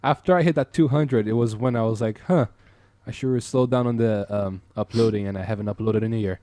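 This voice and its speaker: A man in his twenties from Brazil with an Irish accent, chatting as a podcast guest, rambling with halting restarts and frequent laughs. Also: lowish voice, mellow, dry recording. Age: 20s. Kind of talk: casual chat.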